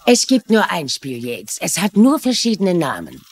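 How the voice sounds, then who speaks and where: Domineering, lively-toned crime boss. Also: male voice, high-pitched, normal speed, creaky, heavily acted. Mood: cool, commanding. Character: domineering, ambitious gambling boss, coolly calculating. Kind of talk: dubbed TV mystery dialogue.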